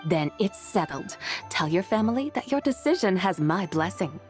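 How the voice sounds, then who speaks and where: Actress for composed, wry female immortal in a game: average pitch, formal, measured delivery. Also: very animated, soft background music, lightly acted. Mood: calm persuasion. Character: composed, wry female adeptus, quietly helpful and sly. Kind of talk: video game character acting.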